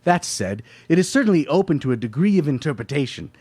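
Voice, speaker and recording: A man's voice: fairly high pitch, big pitch swings, fast talker, darkish tone, breathy edge. An actor playing a pompous, thin-skinned male painter with florid, haughty diction in a live radio play. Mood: wounded, indignant.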